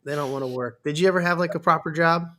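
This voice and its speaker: A man's voice: on the high side, fast, sharp tone. A man in his thirties hosting a podcast, chatting casually as he interviews a guest. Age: 30s. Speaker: podcast host.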